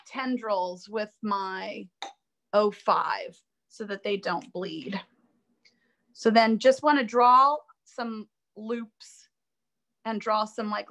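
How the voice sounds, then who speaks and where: A woman teaching a live online drawing class, chatty and upbeat, thinking aloud as she works, her voice a little raspy from allergies. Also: mid-range voice, sharp tone. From Florida. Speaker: art instructor.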